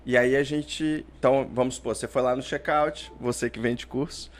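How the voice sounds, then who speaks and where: A man's voice: mid-range voice, steady tone, normal speed. Guy in his 30s, podcast guest, chatty, says né a lot, mid voice. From Portugal, raised in Brazil. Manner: chatty, explains with "né?" tags. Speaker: podcast guest, startup founder. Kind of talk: conversational interview answers.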